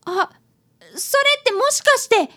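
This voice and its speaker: Eager young schoolboy sleuth, very high voice, bouncy intonation, polite, radio drama. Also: female voice, expressive, a little slow, sharp tone, full character voice. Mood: curious. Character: earnest, polite fifth-grade schoolboy apprentice detective. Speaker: child apprentice detective in a radio drama.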